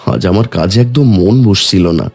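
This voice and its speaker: A man plays a downhearted, mild woodcutter in a cartoon story. The delivery is plaintive and lively in pitch, over faint music. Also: moderate pace, vocal fry, slightly rough, full character voice. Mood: dejected.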